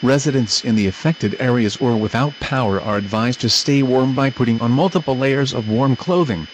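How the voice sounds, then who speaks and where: A man reading a scripted emergency broadcast announcement in a formal, measured manner. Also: medium pitch, slight background noise, rough recording.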